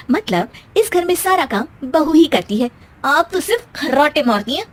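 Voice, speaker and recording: A woman's voice: high voice, sing-song, unhurried, voice pushed up. A woman voicing a feisty young daughter-in-law in a storybook tale, sarcastic, huffy and full of exclamations. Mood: cheeky. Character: feisty, sulky young daughter-in-law, sharp-tongued and dramatic.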